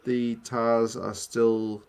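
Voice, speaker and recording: A man's voice: medium pitch, very slow, clean tone. A young man with a New Zealand accent, muddled by years abroad, chatting casually on a rugby watch-along stream and reading out viewer messages. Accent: New Zealand, mixed from years abroad. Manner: relaxed, chatty, slangy.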